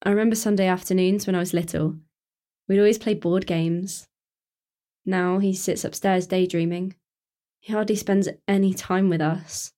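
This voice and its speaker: A woman's voice: mid-range voice, steady tone, normal speed, dark tone, natural voice. A woman with a British accent playing an anxious, sensible teenage daughter in a naturalistic radio play, earnest and cautious. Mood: wistful.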